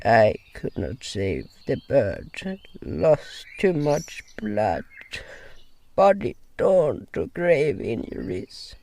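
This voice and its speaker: A man voicing an old, one-eyed amphibian healer in a fantasy audio drama, gentle and sorrowful, speaking in halting broken grammar. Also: medium pitch, huge pitch swings, vocal fry, full character voice.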